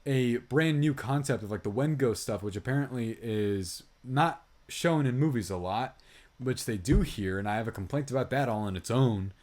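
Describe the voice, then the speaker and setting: A man with a mid-pitched voice chats as a podcast co-host reviewing films. He is emphatic and exasperated, swears freely and keeps the talk loose and conversational. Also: expressive, normal speed, a little mellow. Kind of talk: casual film review chat.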